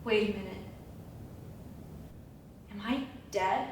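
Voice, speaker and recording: A woman acting a theatrical monologue as an anguished, awestruck Bronx woman questioning an angel. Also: takes it slowly. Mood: awed questioning. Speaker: acting student. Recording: echoey room from far off, rumbling noise.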